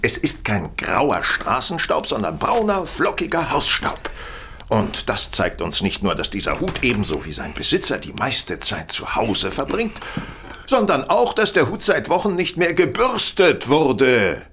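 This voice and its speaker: An actor playing a brilliant, self-assured Victorian detective in an audio drama, reasoning in a confident, didactic manner. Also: male voice, mid-range voice, wildly swooping pitch, measured pace, fairly bright tone, a little hiss or hum, heavily acted. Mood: assured, deducing. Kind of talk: German audio drama acting.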